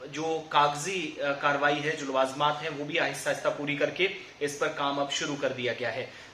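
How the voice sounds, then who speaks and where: Guy reading out news, sharp bright voice, pretty even tone, echoey room w a bit of noise. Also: on the high side. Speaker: news presenter. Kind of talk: news reporting, semi-improvised explainer.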